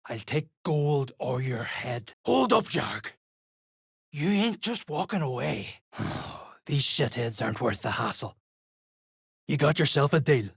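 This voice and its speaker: An actor playing a coarse, greedy duergar mercenary in a fantasy video game, speaking gruffly in rough, sweary slang. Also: mid-range voice, animated, slow pace, dry recording, studio quality, full character voice. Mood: grudging, threatening. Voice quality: gravelly, husky.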